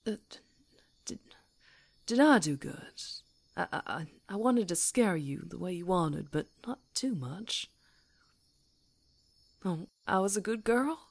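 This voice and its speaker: A woman playing a female werewolf in an intimate ASMR roleplay, predatory yet tender, coaxing in a breathy voice that often drops to whispers. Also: lowish voice, lively intonation, slow pace, long pauses, voice pushed up, full character voice. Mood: shy, eager.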